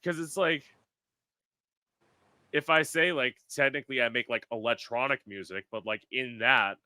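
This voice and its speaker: A man's voice: medium pitch, normal speed, bright, forceful tone, no echo. A man from South Florida hosting a podcast in casual chat, talking with lots of filler words. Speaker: podcast host.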